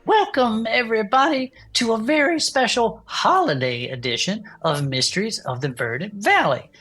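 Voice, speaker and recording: A woman playing a folksy, sentimental gnome who hosts a comedic podcast about cryptids, talking in an effusive, drawn-out way. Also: on the high side, lively intonation, fairly bright tone, breathy edge, soft background music. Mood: cheerful, welcoming. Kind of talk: improvised comedic fiction podcast.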